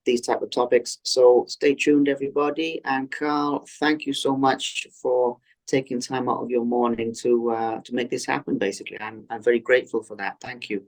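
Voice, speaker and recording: A man's voice: medium pitch, little pitch movement, normal speed, few pauses, rough recording. Earnest male podcast host. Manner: earnest and effusive, with frequent ums and restarts. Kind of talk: interview podcast hosting.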